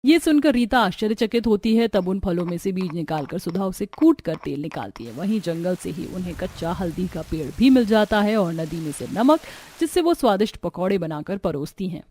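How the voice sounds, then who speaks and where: A woman's voice: on the low side, big pitch swings, a little fast, dark tone, a little hiss or hum. A woman narrating a scripted cartoon story as a storyteller.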